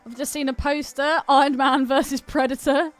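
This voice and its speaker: Female British co-host, high-pitched and animated, enthusiastic slangy chat over faint music. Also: moderate pace. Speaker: podcast co-host. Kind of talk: casual chat.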